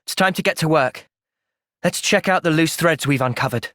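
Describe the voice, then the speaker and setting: A man with a British accent playing a thoughtful, gentle young soldier in video game cutscenes, measured and earnest. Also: a little high, no echo, clean studio sound, natural voice. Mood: calm, earnest.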